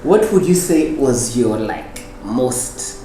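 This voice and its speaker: A man's voice: mid-range voice, expressive, slow pace, warm tone, a little hiss or hum. A man hosting a casual interview show, upbeat and encouraging in manner. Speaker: host. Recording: echoey room.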